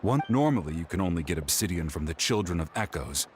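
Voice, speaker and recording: A man's voice: low-pitched, expressive, quick pace, a little hiss or hum. A man voicing a weary, matter-of-fact young tinkerer who explains things in a video game. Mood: businesslike. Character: defeated yet invested young male occult tinkerer.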